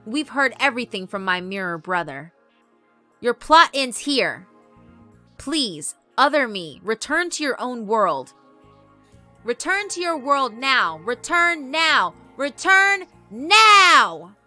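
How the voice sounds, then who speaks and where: A woman voicing a polite, solemn mirror yokai who speaks formally and gives measured, admonishing advice in a visual novel. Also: sits high, very animated, pushed and bright, pitched above the performer's own voice, exaggerated voice. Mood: commanding. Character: solemn, polite mirror yokai delivering stern, wise warnings. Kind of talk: dramatic visual novel voice acting. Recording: music behind the voice.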